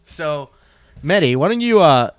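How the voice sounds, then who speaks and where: Animated male host, dry room. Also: a little high, no echo. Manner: animated, quick to interrupt with questions. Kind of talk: casual chat.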